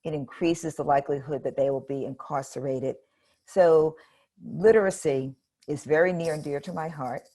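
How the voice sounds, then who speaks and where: An older woman, a retired educator, answers questions thoughtfully and in an earnest way. Her voice is notably low for a woman. She speaks in a measured, steady manner. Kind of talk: interview answers.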